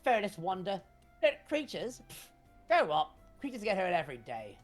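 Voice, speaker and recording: A woman's voice: medium pitch, very animated, normal speed, faint music behind, pitched above the performer's own voice, lightly acted. A woman voicing a bratty, dismissive young girl in a fan comic dub, with a scornful, sneering delivery.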